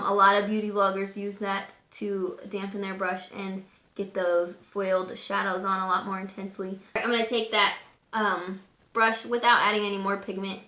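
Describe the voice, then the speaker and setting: Raspy-voiced woman chatting through makeup steps. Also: medium pitch, roomy sound. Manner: chatty, relaxed and instructive, with frequent fillers. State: raspy voice today. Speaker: beauty vlogger. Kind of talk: makeup tutorial talk. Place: room at home.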